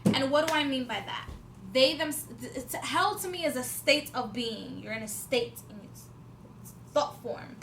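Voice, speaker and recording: A woman's voice: high voice, measured pace. A woman in her twenties vlogging, talking at length in a rambling, casual way, with many fillers and restarts and an occasional swear for emphasis. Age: 20s. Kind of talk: casual spiritual talk to camera. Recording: echoey room with faint background noise.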